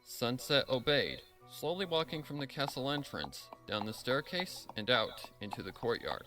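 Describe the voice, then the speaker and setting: A man reads a story as its narrator, with a bright, forceful voice. His pitch is average but moves around a lot in a dramatic scripted delivery, over faint music. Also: expressive, normal speed.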